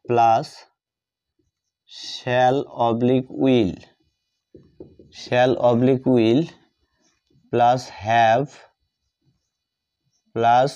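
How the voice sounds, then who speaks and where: Slow-paced male teacher, dull lo-fi recording. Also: mid-range voice, warm tone. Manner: repetitive, dictating word by word. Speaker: English grammar teacher. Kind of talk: step-by-step lesson, writing out examples. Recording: dull lo-fi sound.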